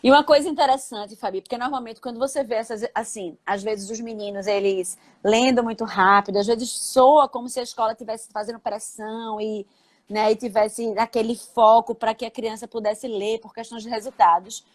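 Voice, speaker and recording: A woman's voice: fairly high pitch, fairly even intonation, normal speed. A Brazilian woman educator chatting in a live stream, often turning to her co-host for agreement. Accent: Brazilian Portuguese. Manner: affirming, checks in with the co-host. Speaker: school educator.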